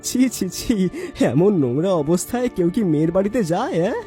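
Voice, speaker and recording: A cunning young man voiced by a male actor talks in a slightly high, mellow voice over faint music. He is the bossy schemer of a cartoon, coaxing and teasing with full confidence. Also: very animated, brisk. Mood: gleeful. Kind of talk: dramatic cartoon voice acting.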